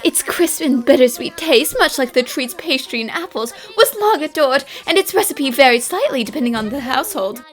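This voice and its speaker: A woman voicing a cheerful, eccentric young female detective in an animated mystery fan dub, theatrical and confident, with emphatic delivery. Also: high-pitched, moderate pace, few pauses. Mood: triumphant. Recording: music under the dialogue. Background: background music.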